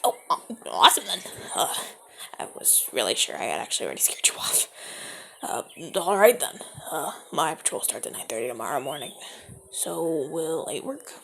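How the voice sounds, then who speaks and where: A man playing a gravelly, suave mafia charmer. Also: a little high, sing-song, moderate pace, slight background noise, full character voice. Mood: flirty. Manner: soft-spoken, often whispering, stammers when flustered. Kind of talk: ASMR audio roleplay.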